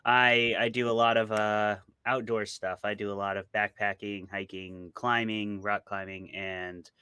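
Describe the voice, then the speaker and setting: A man's voice: on the low side, flat delivery, a little slow, pushed and bright, clean tone, no room sound. A man from Montana with an American accent, chatting casually and with self-deprecating humor as an interview guest. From small town in Montana, United States. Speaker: interview guest, horror writer. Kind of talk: casual conversational interview answers.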